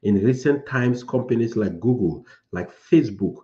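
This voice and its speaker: A man's voice: lowish voice, expressive, normal speed, warm tone, muffled recording. A man from Cameroon coaching people on starting a tech career, giving a preachy motivational talk that repeats phrases for emphasis.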